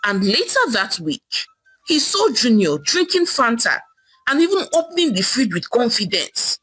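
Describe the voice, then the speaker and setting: Bright, animated Nigerian woman storyteller. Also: a little high, normal speed, slightly rough, no room sound, low-quality recording. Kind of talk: expressive oral folktale narration. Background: soft music.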